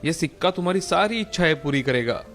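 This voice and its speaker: A man's voice: fairly high pitch, animated, quick pace, fairly bright tone, faint music behind. Man voicing a tired, kindly old wandering sage. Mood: kindly, grateful. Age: older. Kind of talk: animated moral-story dialogue.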